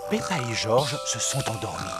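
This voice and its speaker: A man narrating a children's cartoon like a storybook, explaining things clearly and simply, with music behind. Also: mid-range voice, very animated, normal speed, close to an everyday voice.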